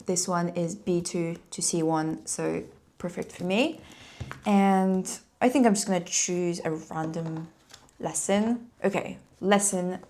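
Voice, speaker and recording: Woman, young adult, native Polish speaker, casual vlog chat, rapid upbeat talk, slightly low pitch. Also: a little mellow, a little hiss or hum. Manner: fast-talking, laughs often, upbeat. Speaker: language-learning vlogger.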